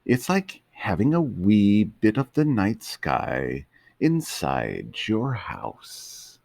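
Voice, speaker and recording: A man's voice: fairly low pitch, very animated, extremely slow, vocal fry, a little mellow, dry recording, studio quality, pitched above the performer's own voice, heavily acted. A man voicing a hearty old male terrier with a thick Scottish accent in a storybook reading.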